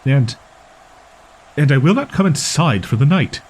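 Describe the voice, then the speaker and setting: Man voicing a proud, husky tsundere wolf-boy. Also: medium pitch, expressive, a little slow, darkish tone. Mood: exasperated, flustered. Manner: haughty, stilted archaic diction, defensive stammering. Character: proud, flustered tsundere young wolf-boy with archaic speech. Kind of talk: roleplay audio drama monologue addressed to listener.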